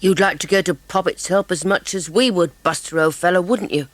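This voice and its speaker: A woman's voice: fairly low pitch, brisk, no room sound, natural voice. A woman voicing a confident, polite English schoolboy detective, well-spoken and earnest, in a dramatised children's audiobook. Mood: sympathetic.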